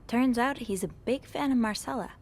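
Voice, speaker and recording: An actress playing an earnest, curious young hotel maid in a mystery video game, speaking thoughtfully and politely in casual conversation. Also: female voice, a little high, moderate pace, a little hiss or hum, close to an everyday voice. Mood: curious, hesitant.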